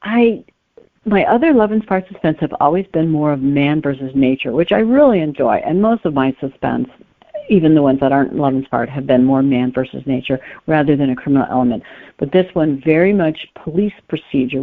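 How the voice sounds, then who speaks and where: A woman's voice: deep voice, fairly quick. A woman speaking as a guest novelist in a conversational interview, explaining carefully and qualifying as they go. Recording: muffled narrow phone-line sound.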